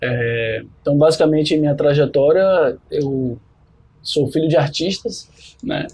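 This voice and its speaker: Male podcast guest, Bahian Brazilian accent, fairly high pitch, soft mellow tone, husky. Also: unhurried. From Salvador, Bahia, Brazil. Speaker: podcast guest, biology professor and researcher. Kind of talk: conversational account of own career.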